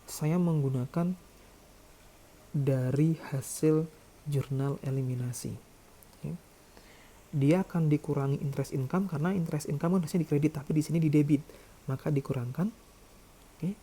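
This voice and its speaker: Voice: mid-range voice, normal speed, pauses often, dark tone. An accounting lecturer explaining calculations step by step in a didactic way. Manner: didactic, checks with "ya" and "oke".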